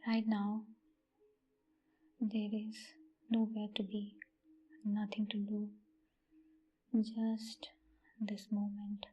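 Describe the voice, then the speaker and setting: A woman leading a guided meditation in a soft, breathy, near-whispered voice, with gentle phrasing and long pauses. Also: mid-range voice, completely flat delivery, highs cut off. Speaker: meditation guide, channel host.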